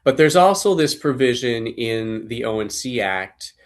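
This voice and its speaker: A man with a cold, slightly hoarse, giving a measured legal explanation as a staff attorney in an online presentation, with frequent fillers. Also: mid-range voice, animated. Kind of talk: webinar presentation.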